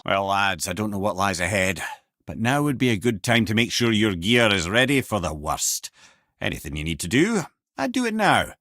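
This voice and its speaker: An actor playing a wise, witty old Scottish storyteller and advisor in a video game, speaking in an eloquent, theatrical and wry way. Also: male voice, on the low side, a bit bright, no room sound, studio quality. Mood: advising.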